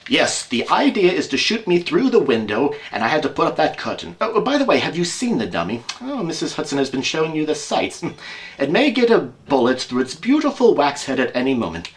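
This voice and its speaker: Man playing a brisk, wry, animated detective. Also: fairly high pitch, voice pushed up. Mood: amused, brisk. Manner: emphatic, wry, theatrical. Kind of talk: amateur audio drama acting.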